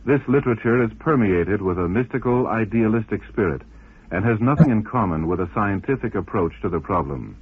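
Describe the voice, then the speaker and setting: A man's voice: lowish voice, expressive, brisk, husky. A man reading formal scripted narration as a radio presenter. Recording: dull lo-fi radio recording.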